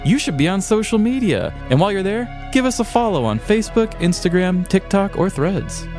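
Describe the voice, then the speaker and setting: A man's voice: high voice, big pitch swings, fairly quick, a little mellow, faint music behind. An American man co-hosting a podcast, chatting casually and conversationally about stories. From United States. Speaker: podcast co-host. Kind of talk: casual chat about odd crime stories.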